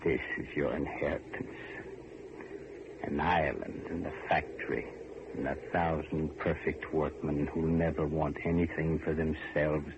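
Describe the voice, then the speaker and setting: An actor playing a bitter, menacing old servant full of hatred in an old-time radio horror drama. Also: male voice, a bass voice. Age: older. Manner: menacing, taunting, drawn-out emphasis. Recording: muffled, noisy vintage radio recording.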